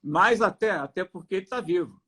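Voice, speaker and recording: A man's voice: high voice, expressive, brisk, fairly bright tone, breathy edge. A man with a Brazilian Portuguese accent giving a faith testimony on a live stream, hesitant with many restarts, then emphatic. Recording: noisy live-stream audio.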